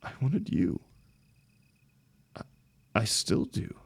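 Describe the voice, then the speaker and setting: A man acting a lovelorn young heartbreaker in an intimate, emotional audio roleplay, speaking haltingly and rawly to a longtime friend. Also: no echo, close to an everyday voice. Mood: desperate, heartbroken. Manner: halting, stammering, swearing, emotionally raw.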